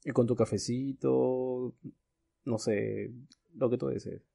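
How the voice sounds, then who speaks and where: A man's voice: medium pitch, steady tone, slow, creaky, mellow. A man co-hosting a podcast in casual chat, often repeating and rephrasing what he says. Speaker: podcast co-host.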